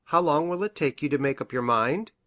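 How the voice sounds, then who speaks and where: A man playing an earnest, anxious country doctor in a Victorian detective story, speaking formally and deliberately. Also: a little high, lively intonation, fairly bright tone. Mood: formal, questioning. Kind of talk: dramatised reading of a Victorian detective novel.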